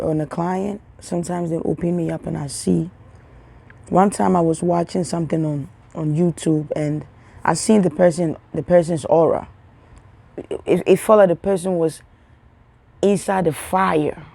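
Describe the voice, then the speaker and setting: A young woman from Africa speaks to her followers as a spiritual healer, in a low, gravelly, mellow voice. She rambles and repeats words. She often sinks into hushed, soft tones, and she talks close to the camera. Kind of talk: casual spiritual talk to camera.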